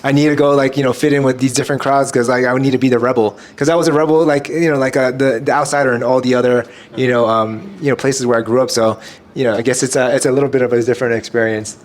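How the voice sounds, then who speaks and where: A man's voice: mid-range voice, fairly even intonation, fast talker, slight background noise. A man talking as a podcast guest, reflecting at length in a rambling way full of "you know". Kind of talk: casual reflective conversation.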